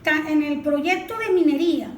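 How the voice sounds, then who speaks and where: A woman's voice: sits high, moderate pace. A Venezuelan woman explaining things as an expert guest in an interview, often posing questions and answering them herself. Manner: didactic, asks and answers own questions. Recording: echoey room with faint noise.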